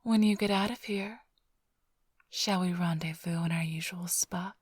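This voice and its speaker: A woman playing a flirtatious, smug vampire thief in an intimate ASMR roleplay, teasing and sly in a breathy, often whispered voice. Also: lowish voice, fairly even intonation, a little slow, a little mellow. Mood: mocking, playful. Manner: teasing, purring, theatrically sly. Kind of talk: ASMR roleplay, intimate close address to listener.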